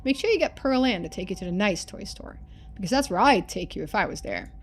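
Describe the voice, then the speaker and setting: A woman's voice: medium pitch, expressive, quick pace, soft background music, close to an everyday voice. A woman voicing a loving, lonely middle-aged father in a story game, reading his letters in an earnest, folksy manner. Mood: tender.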